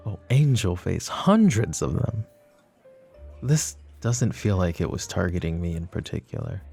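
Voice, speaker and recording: A man playing a smug, flirty, possessive mafia boss in a romantic ASMR roleplay, teasing and suave, with unhurried pauses. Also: low voice, gravelly, warm tone, breathy edge, heavily acted. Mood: wry, reflective.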